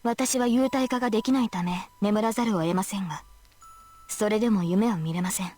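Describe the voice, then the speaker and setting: A woman's voice: mid-range voice, very fast, dark tone, lightly acted. A woman playing a polite, solemn female knight spirit, formal and composed, in a Japanese visual novel. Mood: calm, explanatory. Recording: game music behind the voice.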